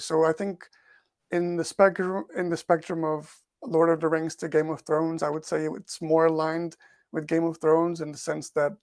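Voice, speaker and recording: A man's voice: on the high side, creaky, no echo, clean studio sound. A man who grew up in Portugal and speaks English as a second language, answering interview questions thoughtfully as an author and researcher. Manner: thoughtful, repeats words as he thinks. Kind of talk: podcast interview.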